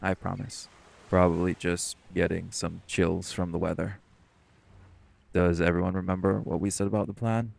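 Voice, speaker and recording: A man's voice: sits low, flat delivery, normal speed, creaky, a little mellow. A man playing a stern, protective shifter who leads a dive in an audio roleplay, speaking seriously and cautiously. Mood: serious, cautioning. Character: stern, protective male marine shifter, bossy diving leader. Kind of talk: scripted audio roleplay acting.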